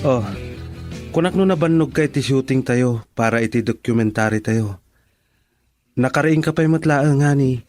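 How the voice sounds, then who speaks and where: A man's voice: mid-range voice, normal speed, pauses often, a little mellow, soft background music, natural voice. A man playing an affectionate, earnest boyfriend in a radio drama, speaking tenderly with an Ilocano accent. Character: devoted boyfriend, documentary cameraman, affectionate and earnest.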